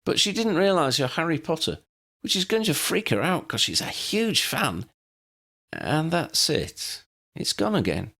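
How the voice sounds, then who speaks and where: A man voicing a young woman who speaks with calm, powerful, uncanny certainty. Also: fairly high pitch, unhurried, gravelly, pitched above the performer's own voice, full character voice. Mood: overwhelmed.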